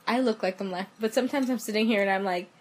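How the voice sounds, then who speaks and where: A woman's voice: mid-range voice, racing. A young woman co-hosting a podcast from her bedroom, laid-back and self-deprecating, laughing often. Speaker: podcast co-host. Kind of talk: casual chat. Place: bedroom at home.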